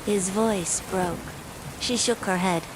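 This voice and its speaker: Slow-paced woman narrating horror over rain. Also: medium pitch. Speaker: storyteller. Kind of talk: scripted horror bedtime story narration. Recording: steady rain noise throughout. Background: falling rain.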